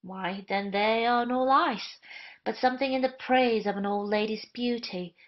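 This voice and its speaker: A woman's voice: a little high, normal speed, natural voice. A woman playing a roguish, affected male traveller in a period comedy audio play, with flowery, mock-courtly speech. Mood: impatient, sly. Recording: dull, lo-fi audio.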